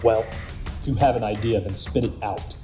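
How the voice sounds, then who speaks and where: A man playing a gruff, irritable spaceship captain in an audio drama, with terse delivery. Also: on the low side, moderate pace, music in the background, lightly acted. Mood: curt, weary. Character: gruff, short-tempered male spaceship captain of mercenaries.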